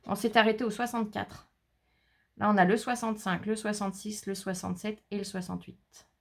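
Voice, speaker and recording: A woman's voice: mid-range voice, little pitch movement, fairly quick, vocal fry. A woman vlogging a scrapbooking haul, chatting casually as she reads out color names and numbers and jokes about herself. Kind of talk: casual haul video, showing products. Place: indoors, shutters closed against the heat.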